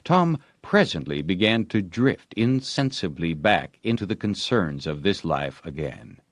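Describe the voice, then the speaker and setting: Slow, low-pitched male audiobook narrator, very animated. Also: no echo, clean studio sound. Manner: expressive, theatrical storytelling. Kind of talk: dramatic literary audiobook narration.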